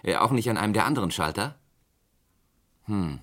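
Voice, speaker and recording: Courteous store boss, halting delivery, lower register, 1970s radio play. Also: male voice, lively intonation, a bit bright. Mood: concerned, hesitant. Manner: hesitant, courteous, frequent hums and pauses. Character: polite, hesitant businessman, a furniture store owner. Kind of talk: 1970s German radio crime drama acting.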